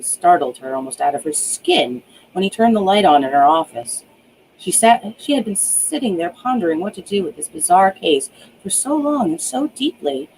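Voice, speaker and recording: A woman reading her own novel aloud as an audiobook, in expressive narration with small stumbles. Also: fairly low pitch, a little hiss or hum, low-quality recording.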